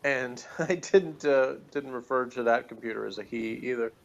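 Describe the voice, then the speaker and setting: A man's voice: medium pitch, normal speed, gravelly, highs cut off. A man in casual, rambling chat as co-host of a review show, with a wry manner. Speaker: podcast co-host reviewing TV episodes.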